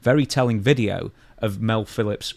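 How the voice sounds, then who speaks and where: A man's voice: fairly low pitch, moderate pace, creaky, no room sound. A British man co-hosting a podcast, chatting casually and bluntly through news stories in slangy language. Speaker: podcast co-host.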